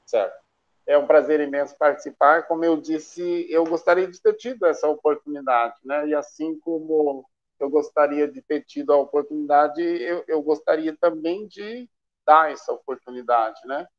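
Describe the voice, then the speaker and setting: Man, 50s, teacher, higher voice, muffled video call, friendly and joking. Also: moderate pace. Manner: affable, self-deprecating humor. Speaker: high school chemistry teacher. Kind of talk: casual talk to students. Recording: dull lo-fi call audio.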